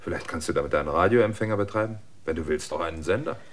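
An actor in a German radio drama playing a sober, world-weary outsider who speaks in a dry, matter-of-fact way. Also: male voice, deep voice, fast talker. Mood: calm, dry.

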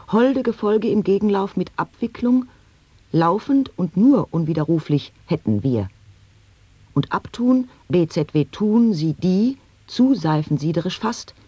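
A woman reading experimental sound poetry in a radio play, taking each word slowly and deliberately in a dry studio. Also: fairly low pitch, dark tone, breathy edge, no room sound. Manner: slow, deliberate word-by-word delivery.

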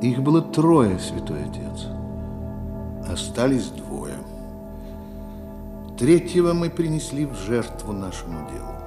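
Weary grieving nobleman, slow solemn delivery, radio drama, long reverb, loud music behind. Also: medium pitch, very animated. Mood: solemn, restrained. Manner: solemn, measured, commanding. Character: weary, grieving nobleman and head of a religious order. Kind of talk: Russian radio drama acting.

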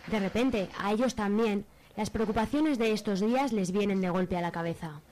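A female narrator with a Spain accent reads a radio soap script. Her pitch is middling and her telling is wry, in storytelling style. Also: moderate pace, darkish tone, slight background noise. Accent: Spanish from Spain.

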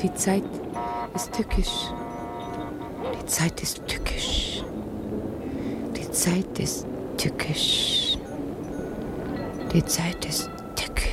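A woman's voice: on the low side, wildly swooping pitch, very slow, pauses often, over loud music, exaggerated voice. An actress in a radio drama playing an enigmatic, dreamy young Frenchwoman, speaking in an incantatory, intense way. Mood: hushed, trancelike. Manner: incantatory, repetitive, at times whispering. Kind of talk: literary radio drama acting.

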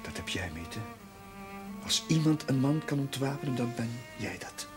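An actor playing a shy, kind young village chaplain with a hesitant, stammering manner, in a television period drama. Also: male voice, medium pitch, expressive, moderate pace, music in the background, lightly acted. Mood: earnest, hesitant.

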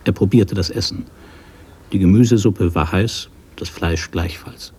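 A man narrating a literary radio drama from a script in a measured, sober manner. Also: deep voice, a little mellow, breathy edge.

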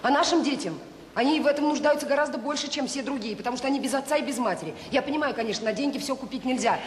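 An actress playing a warm-hearted, stubborn middle-aged director of a children's home, earnest and insistent, in a naturalistic radio drama. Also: female voice, sits high, racing, close to an everyday voice. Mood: passionate, pleading. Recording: echoey reverberant radio play, faint noise.

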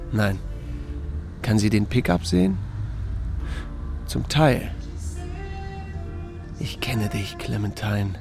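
An actor playing a laconic, wry small-town sheriff who narrates a radio drama in a gravelly, dry, unhurried voice. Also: male voice, medium pitch. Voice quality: gravelly, creaky. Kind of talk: scripted first-person drama narration.

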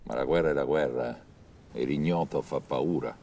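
Very deep-voiced man narrating history. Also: moderate pace, a little mellow. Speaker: documentary film narrator.

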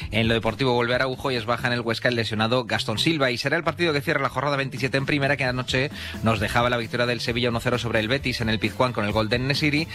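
Male sports reporter, accent from Spain, fast and crisp radio bulletin with sharp, bright voice. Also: medium pitch, steady tone.

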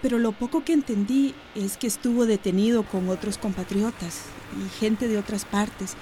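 A woman's voice: medium pitch, steady tone, normal speed, warm tone, a little hiss or hum, lightly acted. An actress playing a worried, polite middle-aged village mother in a radio drama. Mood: worried, relieved. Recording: crowd murmur behind.

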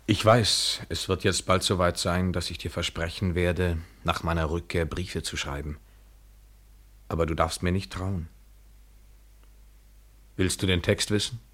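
An actor playing a wry, detached lover in a radio drama, speaking intimately with mock tenderness. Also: male voice, deep voice, steady tone, unhurried, long pauses, a bit bright. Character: detached young male lover, teasing his mistress bitterly.

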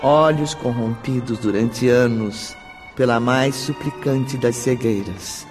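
A man's voice: medium pitch, takes it slowly, a little mellow, natural voice. A man as a solemn storyteller, narrating a radio drama in a grave, dramatic, literary way. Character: solemn third-person storyteller. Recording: background music under narration.

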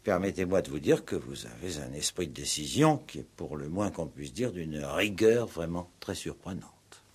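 An actor playing a probing, insistent, ironic police commissioner in a 1960s French radio play. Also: male voice, low voice, big pitch swings, normal speed, darkish tone, breathy edge, exaggerated voice. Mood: probing, formal. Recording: old 1960s radio recording.

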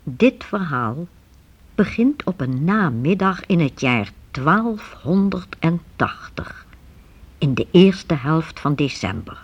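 A narrator telling a children's radio story in a dramatic, suspenseful and deliberate storybook style. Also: medium pitch, big pitch swings, slightly rough, dull audio.

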